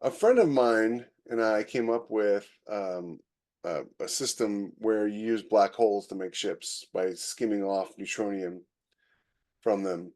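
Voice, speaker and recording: A man speaking as a podcast co-host in casual chat, halting as he thinks aloud. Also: on the low side, a little mellow. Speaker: podcast co-host, science fiction author. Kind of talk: casual chat about sci-fi writing.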